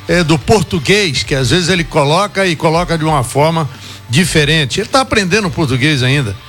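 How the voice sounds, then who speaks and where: High bright-voiced man arguing football. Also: lively intonation, brisk. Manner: opinionated, confident. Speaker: sports commentator. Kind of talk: radio football talk show. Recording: radio broadcast with music behind.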